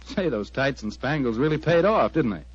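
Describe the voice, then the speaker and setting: A man's voice: mid-range voice, lively intonation, moderate pace, husky, dry recording. A man with an American accent hosting in 1940s radio style, polished and salesmanlike, in scripted announcements and chat. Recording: dull old 1940s radio broadcast.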